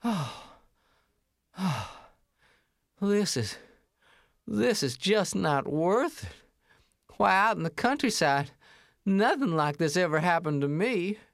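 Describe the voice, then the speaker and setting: A man voicing a naive, timid male country mouse in a storybook tale, talking in a hesitant, halting, folksy way. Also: sits high, big pitch swings, gravelly, no room sound, clean studio sound, voice pushed up, exaggerated voice. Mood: shaken.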